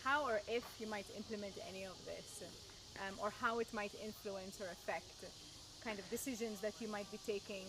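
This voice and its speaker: A woman's voice: medium pitch, fairly even intonation, brisk, noisy background. A woman interviewer off camera, asking a rambling, hesitant follow-up question, heard away from the lapel mic. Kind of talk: casual interview.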